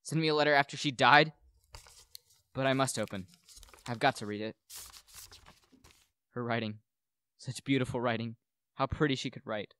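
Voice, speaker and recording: A man's voice: medium pitch, fairly even intonation, moderate pace, pauses often, bright, forceful tone, clean tone, lightly acted. A young man playing a bitter, gloating widower who poisoned his wife, in a theatrical, brooding radio play monologue. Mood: shaken, wary. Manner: melodramatic, brooding, declamatory.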